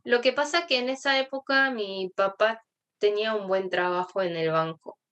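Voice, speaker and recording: Calm woman guest. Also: medium pitch, normal speed. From Argentina. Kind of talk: casual conversational interview.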